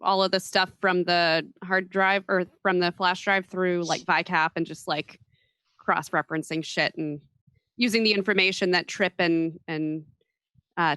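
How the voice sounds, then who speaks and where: A woman takes part in a tabletop roleplaying game, moving casually between describing actions and talking in character. Her voice is bright and crisp in tone, with a dry, close sound. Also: lowish voice, no room sound.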